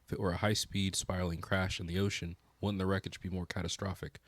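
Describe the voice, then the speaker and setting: A man's voice: sits low, level tone, fast. A man narrating a scripted documentary in a solemn, dramatic, suspenseful way. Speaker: video narrator, channel host. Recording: loud music under the voice. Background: loud music.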